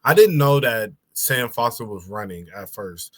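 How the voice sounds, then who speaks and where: A man's voice: mid-range voice, animated, measured pace. Young man with a lisp, casual. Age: young adult. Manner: laid-back storytelling, says bro a lot. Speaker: podcast co-host. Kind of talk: casual chat.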